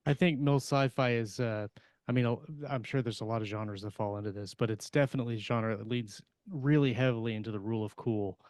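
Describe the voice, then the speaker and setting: A man's voice: fairly low pitch, fairly even intonation, a little fast, darkish tone, dry recording, studio quality. A man co-hosting a podcast, chatting in an informal, easygoing way. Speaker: podcast co-host. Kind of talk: casual chat.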